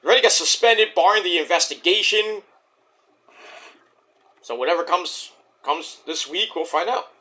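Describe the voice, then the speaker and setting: A man casually reviewing a wrestling show, rambling and swearing, with bursts of excitement, while losing his voice to a sore throat. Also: sits high, normal speed, long pauses.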